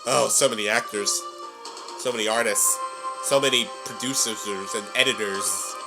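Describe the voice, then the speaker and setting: A man's voice: medium pitch, fairly even intonation, normal speed, music in the background. A man hosting a reaction video in casual commentary, exclamatory and playfully theatrical.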